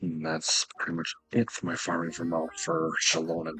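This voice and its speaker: A man giving casual gameplay commentary as a video host, thinking aloud in a halting mutter to himself. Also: a bass voice, completely flat delivery, bright, forceful tone.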